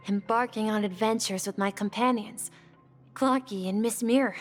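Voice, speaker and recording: A woman voicing a gentle, wistful young boy in video game dialogue, measured, earnest and reflective. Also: medium pitch, close to an everyday voice.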